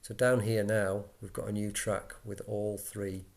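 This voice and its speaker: A man talking through an informal software tutorial, casual and a little hesitant as he thinks aloud into a laptop microphone. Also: fairly low pitch, little pitch movement, unhurried, gravelly. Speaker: tutorial presenter for radio volunteers. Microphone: built-in laptop microphone. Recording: laptop mic, background noise, key clicks.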